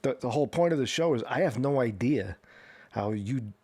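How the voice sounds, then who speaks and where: A man hosting a casual interview podcast, asking halting questions with frequent restarts and short agreements. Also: medium pitch, animated, darkish tone. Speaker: podcast host.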